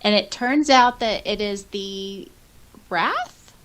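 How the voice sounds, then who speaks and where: A woman with a mid-pitched voice chats casually as a podcast co-host. She is enthusiastic and giggly, moving easily between reading comments aloud and reacting to them. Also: slow pace, fairly bright tone.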